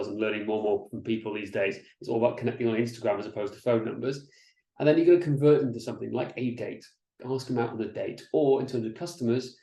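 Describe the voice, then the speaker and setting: A man's voice: medium pitch, vocal fry. Chatty man teaching in an echoey room. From Wales. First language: Welsh. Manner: energetic, chatty, with frequent restarts and "right?" checks. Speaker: business coach presenting a webinar. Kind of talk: presenting slides in an online masterclass.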